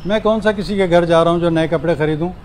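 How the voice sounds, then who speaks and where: A man playing a humble, gentle watchman in a moral drama, with faint outdoor noise behind him. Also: a little high, fast talker, natural voice. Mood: gentle, reassuring. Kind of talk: acted dialogue in a moral drama.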